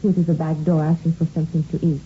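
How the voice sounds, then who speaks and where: Low-voiced French cook played by a woman, earnest. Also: flat delivery, fast, natural voice. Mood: concerned. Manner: careful, formal, polite. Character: earnest, concerned foreign-born female household cook. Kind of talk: 1950s radio drama acting. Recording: muffled, noisy vintage radio recording.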